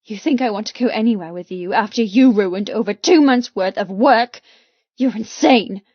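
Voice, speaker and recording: A woman's voice: medium pitch, normal speed, dry recording, highs cut off, natural voice. A woman voicing a defiant, frightened young woman in an audiobook, indignant and urgent in delivery. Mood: indignant anger. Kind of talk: audiobook character dialogue.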